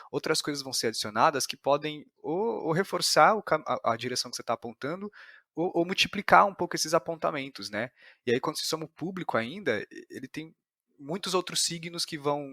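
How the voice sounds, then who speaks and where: A man's voice: mid-range voice, bright, forceful tone, dry recording, clean studio sound. A man with a Brazilian accent hosting a podcast, explaining and analysing in an articulate way. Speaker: podcast host.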